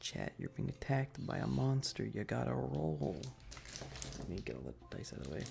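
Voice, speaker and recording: A soft-spoken, partly whispering ASMR streamer chatting casually and playfully with viewers into a close microphone, often repeating phrases. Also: medium pitch, very animated, moderate pace, creaky. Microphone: close adjustable microphone.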